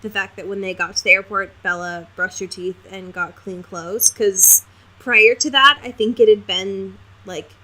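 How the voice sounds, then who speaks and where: A woman's voice: mid-range voice, fairly even intonation, moderate pace, pushed and bright, poor audio. A woman co-hosting a podcast, casually discussing a book in a wry, informal way. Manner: wry, informal, peppered with mild swearing. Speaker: podcast co-host.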